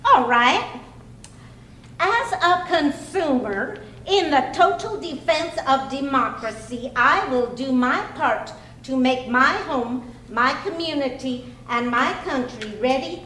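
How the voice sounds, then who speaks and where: A woman's voice: high-pitched, expressive, slow pace, pushed and bright, exaggerated voice. A woman acting a sensible, fond, teasing wife in an old-time radio comedy, with a homey manner. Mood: earnest. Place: clubhouse stage performance. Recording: roomy live hall, faint noise.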